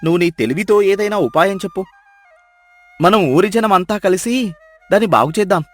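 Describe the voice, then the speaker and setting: A man's voice: sits high, expressive, brisk, a bit bright, soft background music, pitched above the performer's own voice. A man voicing a proud, emphatic older village headman in a Telugu moral story. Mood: hearty. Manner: emphatic, blustering, commanding. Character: boastful loud old village headman, gullible, later humbled.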